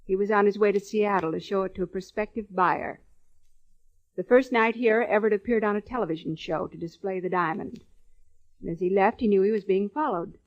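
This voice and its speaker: An American actress playing a businesslike female gem dealer, crisp and matter-of-fact, in old-time radio drama style. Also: mid-range voice, brisk, close to an everyday voice. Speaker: radio actress in supporting part. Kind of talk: 1940s-50s American radio drama acting. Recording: dull old radio recording.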